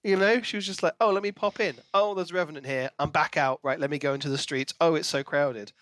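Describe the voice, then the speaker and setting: A man with a slightly high voice chats casually as a podcast co-host. He tells things in an animated way, slipping into imagined dialogue, and the recording is close and dry. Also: fast, no echo. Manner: animated storytelling, acts out imagined lines. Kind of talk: casual chat about books.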